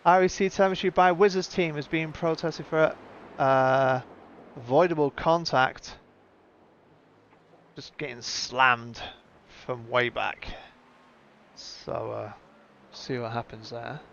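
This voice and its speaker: A man's voice: medium pitch, slow pace, long pauses, a bit bright. A man hosting a live sim racing stream with casual, conversational commentary, thinking aloud as he goes. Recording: muffled lo-fi audio with background noise.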